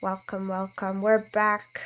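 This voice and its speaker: Stuffy-nosed woman calmly reading a visual novel. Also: mid-range voice, slow, slight background noise. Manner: calm, even-paced narration with casual asides. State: stuffy nose, slight cough. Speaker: streamer.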